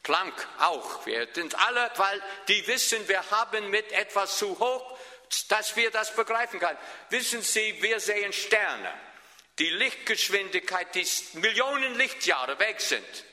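A Swabian-accented man preaches with a very bright, cutting tone and a voice sitting a little high for a man. He presses his points hard and keeps checking in with his congregation. His words ring out with the long reverb of a large hall. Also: animated, measured pace. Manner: emphatic, often asks the listeners questions. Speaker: pastor. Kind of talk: sermon.

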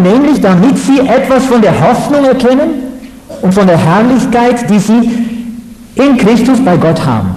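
A man from the Netherlands, giving a measured, halting lecture on religion with fillers. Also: high voice, darkish tone. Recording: echoey hall.

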